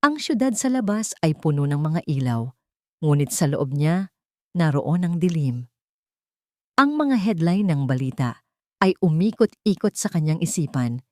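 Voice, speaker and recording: A woman's voice: sits low, very animated, unhurried, long pauses, darkish tone, no echo, studio quality. A woman with a Filipino accent reading a scripted, dramatic romance story as its narrator.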